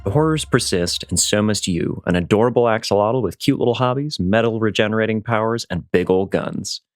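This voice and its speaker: A man's voice: fairly low pitch, fairly quick, faint music behind. A man announcing a tabletop game promo in a playful, scripted style.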